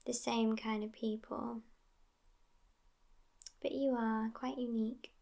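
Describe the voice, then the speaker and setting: A woman playing a sassy, world-weary immortal vampire girlfriend in a whispered ASMR roleplay, soft, breathy and intimate. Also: fairly high pitch, little pitch movement, slow pace. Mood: wistful, intimate.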